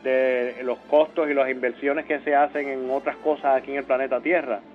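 Brief: Puerto Rican man, interview guest, halting and full of restarts, heard over a thin phone line. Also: medium pitch, little pitch movement, soft background music. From Puerto Rico. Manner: halting, many restarts and fillers. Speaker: space agency mission coordinator, interview guest.

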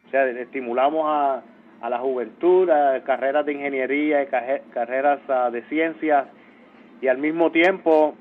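A man's voice: medium pitch, fairly even intonation. Puerto Rican man on a tinny phone line. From Puerto Rico. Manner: halting, many restarts and fillers. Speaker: space agency mission coordinator, interview guest. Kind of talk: phone interview.

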